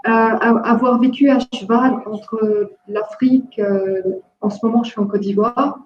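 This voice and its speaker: A woman from Jordan with no accent, speaking haltingly with many fillers and restarts in an online discussion workshop. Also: a little high, fairly even intonation, faint music behind. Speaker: workshop participant, entrepreneur. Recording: echoey, dull lo-fi call audio.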